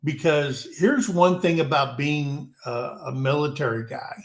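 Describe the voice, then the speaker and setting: Male host, unhurried, rambling delivery, echoey room. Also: fairly high pitch. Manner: rambling, repeats himself. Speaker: talk show host. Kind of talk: casual panel chat.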